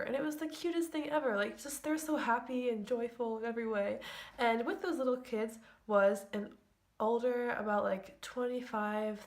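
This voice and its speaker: Chatty, high-voiced young woman. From United States. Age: young adult. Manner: chatty and giggly, with lots of "like" fillers. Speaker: vlogger. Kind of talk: storytime vlog.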